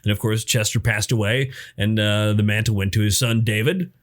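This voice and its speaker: A man's voice: lowish voice, steady tone, fairly quick. A man co-hosting a podcast, rambling and hesitant with many fillers in casual chat. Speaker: podcast co-host.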